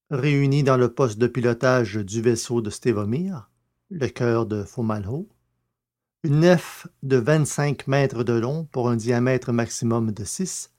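A man narrates a science fiction audio drama in a scripted, literary style. His pitch sits in the middle range. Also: darkish tone.

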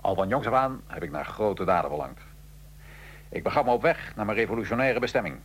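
A man acting in a radio drama as a defiant, wry nineteenth-century Italian revolutionary prisoner, telling his story gravely and with irony. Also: on the low side, animated, brisk. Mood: grave, wry recounting.

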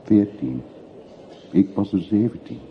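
A man's voice: lowish voice, animated, unhurried. An actor playing a brooding middle-aged man back from long exile in a radio play, terse, evasive and reflective. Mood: wistful, remembering. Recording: muffled phone-like old radio recording, faint hiss.

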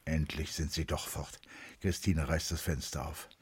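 Male narrator for literary radio drama: extremely low, deliberate, expressive, slight noise. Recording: faint background noise.

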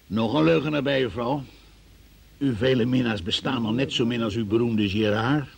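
An actor playing a stern, sarcastic examining magistrate in a radio play, brusque and probing in manner. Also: male voice, mid-range voice, moderate pace, gravelly, natural voice. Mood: sardonic, pressing. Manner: brusque, sardonic, interrogating. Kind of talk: Dutch-language radio play acting.

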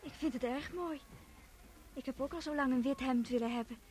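An actress playing a gentle, withdrawn, curious young woman, speaking simply and intimately in a radio play. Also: high voice, fairly quick, close to an everyday voice. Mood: admiring, shy. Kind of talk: Dutch radio play acting.

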